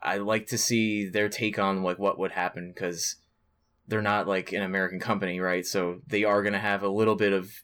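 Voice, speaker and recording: Low-voiced, sweary casual podcast guy. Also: flat delivery, normal speed, a bit bright. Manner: sweary, rambling, informal. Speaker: podcast co-host. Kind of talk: casual gaming podcast chat.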